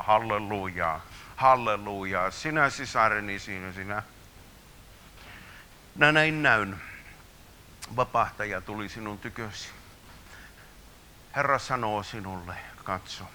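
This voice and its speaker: A man leading a charismatic healing prayer, prophesying over people in a fervent style that swings between hushed murmurs and loud declarations. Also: lowish voice, slight background noise. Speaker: preacher.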